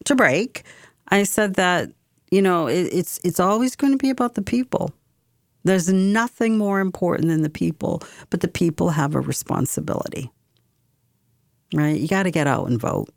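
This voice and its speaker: Low-voiced, impassioned woman radio host. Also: a little slow, dry recording, studio quality. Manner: impassioned, repeats phrases for emphasis. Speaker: radio talk show host. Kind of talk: opinionated talk radio monologue. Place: community radio studio.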